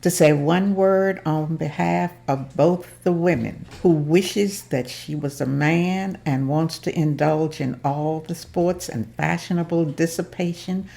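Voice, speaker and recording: A woman reading the part of a determined community leader in an amateur table read, earnest and steady. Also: low-pitched, measured pace, creaky, hardly any pauses. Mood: rallying.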